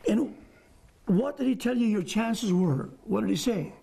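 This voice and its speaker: Older male host, raised pitch, husky, gravelly, prompting the guest. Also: animated, unhurried, mellow. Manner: leading, prompting the guest, finishing his sentences. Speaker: TV host and evangelist. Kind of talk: interview questions. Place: small TV studio set, indoors.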